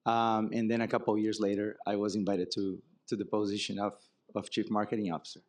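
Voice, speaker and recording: A man from Brazil with a Brazilian accent, a marketing executive speaking as guest in an onstage fireside chat, relaxed and wry, explaining with examples. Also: fairly low pitch, brisk. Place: conference stage before an audience.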